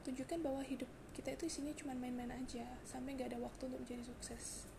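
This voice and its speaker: A hoarse young woman hosting a casual solo podcast, chatty and confiding, with frequent fillers and restarts. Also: sits high, steady tone, quick pace, background noise. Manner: chatty, confiding, mixes in English words, many fillers and restarts. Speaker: podcast host.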